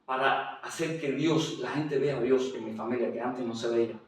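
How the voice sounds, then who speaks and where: A man's voice: mid-range voice, normal speed, very echoey, recorded from a distance, low-quality recording. A man with a Cuban Spanish accent giving his testimony as an interview guest, speaking hesitantly with many fillers and restarts. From Cuba. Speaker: interview guest, general doctor.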